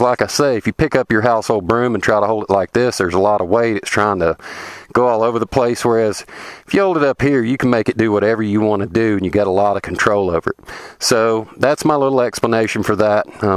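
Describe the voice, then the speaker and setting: A man with a Southern US accent giving a rambling, relaxed how-to talk outdoors on a remote forest road, a little congested from a cold. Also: lowish voice, quick pace, dry recording. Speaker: motorcycle vlogger. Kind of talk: casual how-to talk to camera. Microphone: helmet camera.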